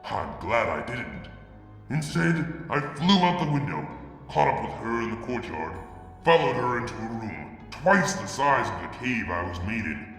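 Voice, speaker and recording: A man reading fan fiction aloud, narrating in the first person with brooding, deliberate delivery and sudden drops to a hush. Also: mid-range voice, vocal fry. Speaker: fanfiction reader. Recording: reverberant room with long echo.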